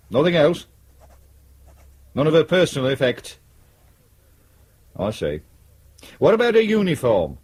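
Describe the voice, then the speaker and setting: An actor playing a sardonic, ambitious British detective sergeant in a radio crime drama, with a dry, blunt manner. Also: male voice, medium pitch, a little mellow, slightly rough, exaggerated voice. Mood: businesslike.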